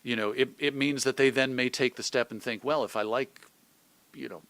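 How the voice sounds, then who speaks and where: A man's voice: medium pitch, fairly even intonation, normal speed, a bit bright, no room sound. A middle-aged American man talking casually and reflectively as an interview guest, thinking aloud and illustrating his points with examples. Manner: discursive, thoughtful, illustrates points with examples and imagined quotes. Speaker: interview guest, old-time radio host.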